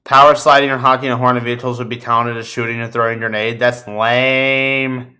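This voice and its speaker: A man chatting casually about video games as a podcast host, telling animated stories with plenty of swearing. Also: mid-range voice, brisk. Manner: profane, animated storytelling.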